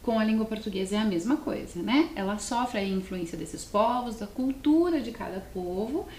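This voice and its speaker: A woman's voice: medium pitch, lively intonation, normal speed, a little mellow. A woman with a southern Brazilian accent teaching a class to students, explaining step by step and often asking questions. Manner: explanatory, often asks questions and adds checking tags. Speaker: language teacher presenting a televised class. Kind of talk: didactic lesson addressed to students. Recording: roomy echo, faint background noise.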